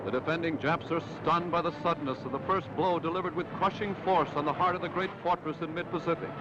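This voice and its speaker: A man's voice: on the high side, steady tone, quick pace. An American man narrating a 1940s wartime newsreel in a dramatic, emphatic, patriotic manner. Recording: dull, very noisy 1940s newsreel soundtrack.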